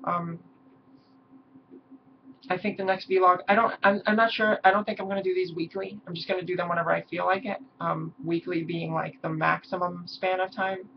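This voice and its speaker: A hoarse young woman talking haltingly in a personal vlog, with many pauses and ums. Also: lowish voice, level tone. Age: young adult. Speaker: vlogger. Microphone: camera mic. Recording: dull lo-fi mic with faint hiss.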